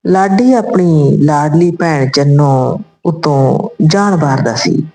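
A woman narrates a scripted story as a storyteller, reading deliberately in short phrases. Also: deep voice, dark tone. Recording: roomy, echoing voice recording.

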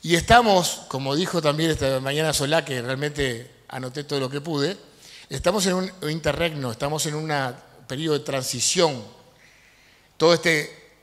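A middle-aged man giving an animated conference lecture on a handheld mic in a large university auditorium, with fillers and restarts. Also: on the high side, moderate pace. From Uruguay. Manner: animated, gestures while speaking, frequent fillers and restarts. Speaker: lecturer and politician. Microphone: handheld microphone. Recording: long hall reverb.

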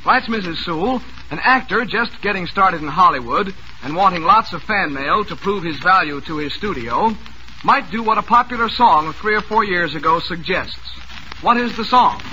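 A man's voice: high voice, expressive, moderate pace, pushed and bright. An American man hosting a 1940s radio quiz show with jovial, chatty, showman-like patter. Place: radio studio. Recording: noisy vintage radio broadcast recording.

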